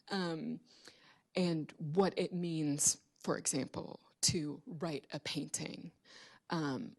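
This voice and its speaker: A woman on a panel at a public event, reflecting in a measured, academic way and pausing mid-phrase. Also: low-pitched, a little mellow. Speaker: panelist and translation collaborator.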